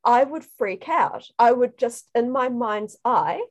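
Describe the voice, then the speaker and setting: Enthusiastic New Zealand woman, higher voice. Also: expressive, slow pace, dull audio. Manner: enthusiastic storyteller. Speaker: guest researcher. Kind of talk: casual interview over video call.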